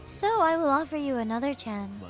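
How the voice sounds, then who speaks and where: A woman's voice: high voice, animated, a little fast, faint music behind. A woman voicing a smug, coldly menacing video game villain in a haughty manner.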